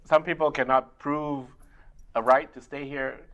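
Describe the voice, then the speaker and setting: A middle-aged man whose first language is Mandarin Chinese, working as an immigration attorney and answering interview questions in a measured way, with many pauses to think. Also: on the high side, steady tone, a bit bright, muffled recording. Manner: measured, explains step by step with frequent uh pauses. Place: community TV studio.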